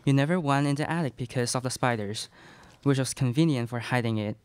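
Young man playing protective dad, crisp voice. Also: mid-range voice, fairly quick, a little mellow, dry recording, natural voice. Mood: earnest. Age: young adult. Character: protective father, aging ex-vampire hunter. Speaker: student radio play performer. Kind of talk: live radio theatre acting. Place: small classroom studio. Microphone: stand microphone, close.

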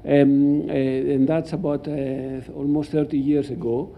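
Older Greek-accented male lecturer, gravelly. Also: on the high side, little pitch movement, unhurried. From Greece. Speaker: professor lecturing. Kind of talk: academic slide lecture. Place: indoor lecture hall. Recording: dull, muffled lecture-hall recording.

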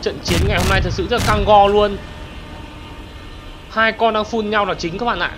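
Excitable high-voiced man narrating gameplay. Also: normal speed, music in the background. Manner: excitable, exclaims often, addresses viewers constantly. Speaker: gaming channel host. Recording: game battle noise behind voice. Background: explosions and gunfire from game.

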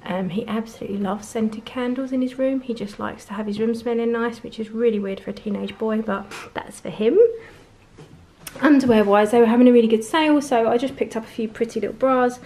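A woman's voice: on the high side, a little fast, darkish tone. Chatty woman vlogger. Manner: chatty, laughs often. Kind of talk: casual haul vlog. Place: home conservatory, indoors.

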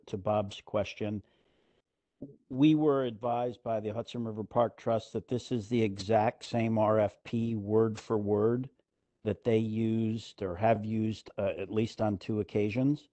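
Low, dark man speaking formally. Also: steady tone, dry recording. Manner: deliberate, formal. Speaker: board member. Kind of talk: video-call board meeting. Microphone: video call.

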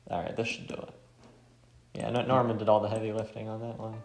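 A man's voice: fairly low pitch, level tone, quick pace, gravelly, slight background noise. A man giving casual commentary as he plays a game. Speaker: gamer playing a visual novel. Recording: roomy sound, faint music behind.

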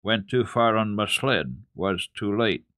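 A man acts out a blunt young goatherd boy from a children's storybook. He speaks in terse, matter-of-fact bursts with lively pitch, recorded dry. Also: unhurried, no room sound.

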